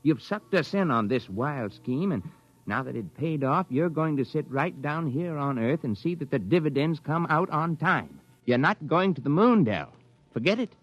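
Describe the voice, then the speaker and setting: Man acting hard-nosed executive, firm, lecturing, lively swings, old radio drama, muffled recording. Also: a little high, moderate pace, a little hiss or hum, lightly acted. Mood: stern. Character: hard-nosed, blunt business associate, firm. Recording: dull 1950s radio recording.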